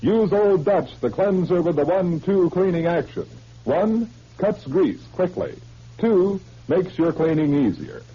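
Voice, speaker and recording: A man's voice: sits high, very animated, unhurried, a little hiss or hum. A man working as a 1930s radio announcer, reading commercials and story introductions in a polished, salesmanlike way. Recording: muffled old 1930s radio broadcast.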